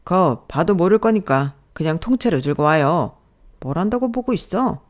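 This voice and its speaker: A man's voice: high voice, animated, moderate pace. A woman voicing an abusive male department manager in a story, barking sarcastically and belittling an employee. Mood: dismissive, curt. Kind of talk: dramatized audio story dialogue.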